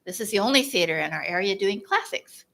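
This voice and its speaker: A woman's voice: medium pitch, expressive, quick pace, gravelly, bright, forceful tone. A woman making an enthusiastic, chatty announcement to the congregation.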